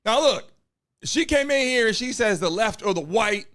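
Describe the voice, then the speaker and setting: Brief: high-pitched guy hosting a live stream, brash hype-man who keeps calling out to his chat. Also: lively intonation, normal speed, fairly bright tone. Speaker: live-stream show host. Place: home studio in Miami.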